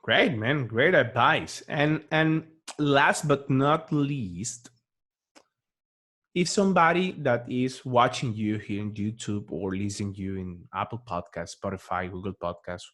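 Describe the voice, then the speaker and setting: Male podcast host, playful, mid-pitched casual questioner. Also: a little slow, a bit bright. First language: Spanish. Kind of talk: casual interview.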